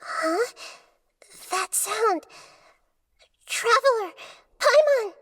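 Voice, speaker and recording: A woman voicing a small, gentle young girl deity in a video game, earnest, hesitant and vulnerable. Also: extremely high pitch, animated, very slow, heavily acted. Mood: alarmed. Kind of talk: English video game voice acting.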